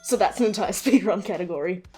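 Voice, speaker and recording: A woman's voice: mid-range voice, quick pace, dark tone, slightly rough. A woman chatting casually and enthusiastically, swearing freely as she hosts a quiz and explains game lore. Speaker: host quizzing a partner on game characters. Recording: background music under the voice.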